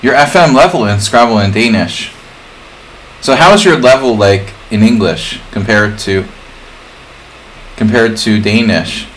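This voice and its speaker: A man's voice: lowish voice, measured pace, pauses often, rough recording. A man hosting a livestream in casual, rambling chat, drifting off on jokey tangents. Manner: digressive, jokey. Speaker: livestream host, chess streamer. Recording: clear background noise.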